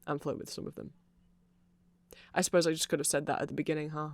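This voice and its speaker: A performer voicing a nerdy, apologetic vampire shopkeeper in a casual ASMR roleplay, rambling hesitantly and excitably to a friend. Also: mid-range voice, fast, no room sound, close to an everyday voice. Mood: sheepish, friendly.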